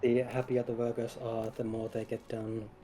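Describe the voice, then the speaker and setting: A man talking casually as a guest game developer, hesitant and pausing mid-sentence. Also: mid-range voice, completely flat delivery, a loud crowd, rough recording. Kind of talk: casual livestream chat. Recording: faint music behind the voice.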